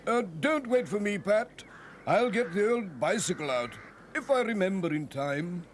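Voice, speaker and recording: A man plays an older British country vicar in a cartoon, absent-minded and genteel. He dithers fretfully in a slightly high voice that swoops about. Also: expressive, moderate pace, slight background noise. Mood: dithering. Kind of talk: children's cartoon character voice.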